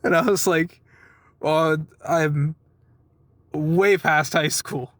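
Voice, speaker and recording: A man in his twenties with a noticeably high voice talks casually to his viewers. The sound is dry, with little room in it. He rambles through personal stories in an informal way and acts out bits of conversation as he goes. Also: fairly even intonation, extremely slow, fairly bright tone, no echo. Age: 20s. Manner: acts out quoted exchanges. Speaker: vlogger giving a weekly update.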